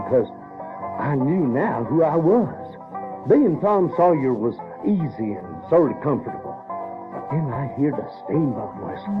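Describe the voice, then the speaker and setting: An actor playing a folksy, earnest Southern American runaway boy who tells his adventures in halting, colloquial speech. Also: fairly high pitch. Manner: halting, colloquial, repeats words. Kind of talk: first-person storytelling in radio drama. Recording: dull 1970s recording with music.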